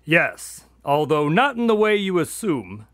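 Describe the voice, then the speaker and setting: Exasperated male sidekick, radio drama storyteller, lightly high pitch, very animated, dry delivery. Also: a little slow, no echo.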